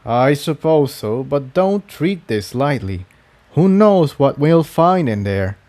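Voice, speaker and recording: A man's voice: on the high side, very animated, slow, warm tone. A man voicing a cautious, serious, learned male unicorn in a fantasy story reading, earnest and wary. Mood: wary resigned.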